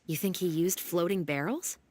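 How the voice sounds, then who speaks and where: A woman playing a confident, deductive investigator in a video game, with an assured, deliberate delivery. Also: lowish voice, a little mellow, faint music behind, close to an everyday voice. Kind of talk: scripted video game voice acting.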